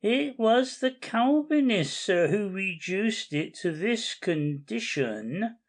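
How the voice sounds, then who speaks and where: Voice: mid-range voice, expressive. An audiobook reader playing a pedantic, tireless male cathedral guide, declaiming facts in a dramatic reading. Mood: eager. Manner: slow, declamatory recital of dates and facts.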